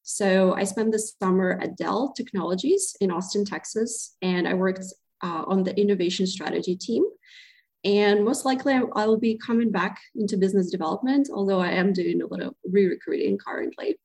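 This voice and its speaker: A woman in her thirties from Ukraine, giving measured answers as a podcast guest with some hesitations. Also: mid-range voice, gravelly, a little mellow. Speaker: guest, club co-president. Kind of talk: interview answers.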